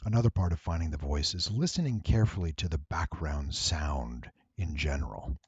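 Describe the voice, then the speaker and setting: A man giving a casual, unscripted software tutorial in a deep, husky voice, with wry, playful asides. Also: animated, moderate pace, no echo, highs cut off.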